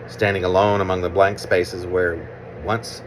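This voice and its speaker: A man reading his own literary poem aloud with deliberate phrasing, pauses and repetitions. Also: deep voice, level tone, noisy background. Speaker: poet reading own work.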